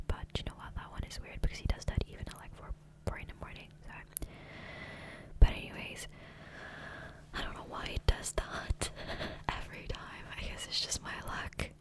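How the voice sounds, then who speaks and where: Whispering woman, soft ASMR ramble. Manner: rambling, hesitant, self-interrupting. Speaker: ASMR gaming video creator. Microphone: close, sensitive USB microphone.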